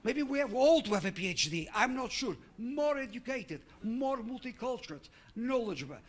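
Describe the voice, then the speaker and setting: A middle-aged man talks through his slides at a conference. He speaks haltingly, full of "uh"s and restarts, and now and then quizzes the audience. A faint hum of room ambience sits under his voice. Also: very high voice, expressive. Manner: halting, many fillers and restarts, asks the audience questions. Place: conference hall.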